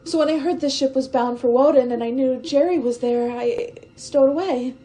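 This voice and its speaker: A woman's voice: high voice, moderate pace, dark tone, faint music behind, natural voice. An actress playing a frightened, wistful young woman stowaway in a science-fiction radio drama, speaking hesitantly and with tender emotion. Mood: wistful, sad.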